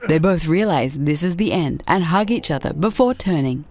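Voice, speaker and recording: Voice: medium pitch, lively intonation, normal speed, darkish tone, a little hiss or hum. A narrator reading a scripted video game countdown as a voice-over.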